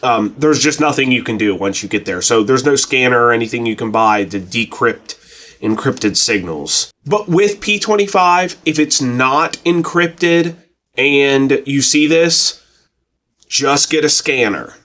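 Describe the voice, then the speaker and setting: A man's voice: medium pitch, measured pace, fairly bright tone. Plainspoken man explaining things casually. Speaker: presenter of a radio tutorial channel. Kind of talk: casual explanatory tutorial talk.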